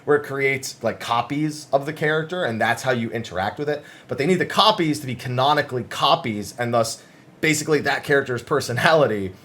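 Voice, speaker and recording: A man's voice: mid-range voice, a little fast, a bit bright. A man giving casual, conversational commentary as the host of a gaming news channel, summarizing interviews with emphasis.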